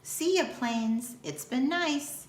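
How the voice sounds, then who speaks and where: A woman reads aloud for children like a schoolteacher. Her delivery is unhurried, deliberate and expressive. The room adds noticeable echo, with a faint layer of noise behind her. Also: high-pitched. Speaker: school teacher reading aloud. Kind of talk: children's picture book read-aloud.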